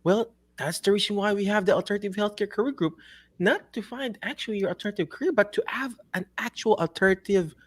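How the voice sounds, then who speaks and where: A man with a Filipino accent hosting a casual interview over a video call, animated and laughing often. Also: high voice, fast. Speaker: host.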